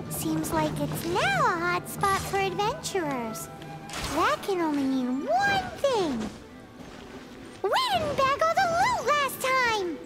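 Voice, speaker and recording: A woman voicing a tiny, childlike, cheeky and excitable sidekick with a squeaky voice in an anime-style game. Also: exaggerated voice.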